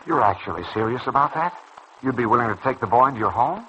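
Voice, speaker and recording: A man's voice: mid-range voice, lively intonation, quick pace, a bit bright, natural voice. A man playing a glum, bitter young surgeon in a mid-century radio soap opera, hesitant and sceptical in manner. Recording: dull, noisy old radio recording.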